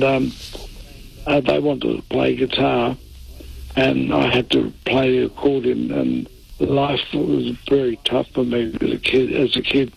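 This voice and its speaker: Older man from Italy, interview guest. Slow and gravelly, a touch husky, halting with many restarts. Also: mid-range voice, low-quality recording. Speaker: interview guest, defence lawyer. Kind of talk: reflective interview answers.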